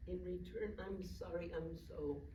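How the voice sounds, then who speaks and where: A woman playing a frail, confused elderly grandmother full of regret, speaking haltingly in a staged play reading. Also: lowish voice, natural voice. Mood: remorseful. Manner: halting, repeats words. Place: performance space, recorded from afar. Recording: echoey distant stage recording, faint noise.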